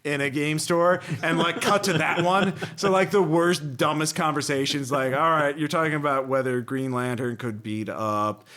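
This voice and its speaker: A man's voice: a little high, steady tone, normal speed, few pauses, a bit bright. Rambling, jokey male podcast host. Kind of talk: casual chat.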